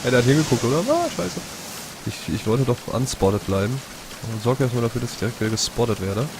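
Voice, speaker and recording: A man's voice: mid-range voice, fast talker. A man doing casual live commentary as he streams a video game. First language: German. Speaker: let's play streamer. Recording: noisy stream over game rain. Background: game rain and ambience.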